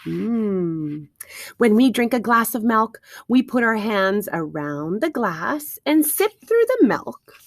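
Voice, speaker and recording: A woman's voice: medium pitch, big pitch swings, slow pace, dry recording, studio quality. A woman reading aloud to young children like a teacher, expressively, with questions and wondering asides to her listeners.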